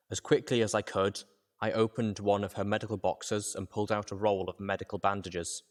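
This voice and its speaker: A man reading scripted first-person fiction as a dramatic audiobook narrator. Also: low voice.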